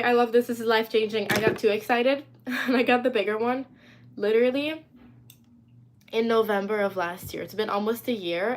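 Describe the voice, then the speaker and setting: A young woman talks loosely and chattily into her phone on a home live stream, answering viewers as their comments come in. Her pitch sits in a middling range. A faint hiss of ambient noise stays under her voice. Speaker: livestreamer. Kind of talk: casual live stream chat. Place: indoors at home.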